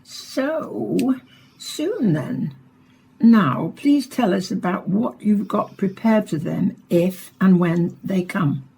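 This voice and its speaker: An inquisitive presenter of a science programme in a radio play. Her mid-pitched voice is a little husky, and she speaks briskly with a composed, probing broadcast manner. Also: lively intonation, warm tone, full character voice. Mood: composed, professional.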